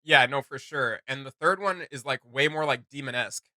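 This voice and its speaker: A man hosting a reaction video, chatting casually in an excitable, slangy way. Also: mid-range voice, fairly quick, dry recording, clean studio sound. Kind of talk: casual chat, reaction commentary. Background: music.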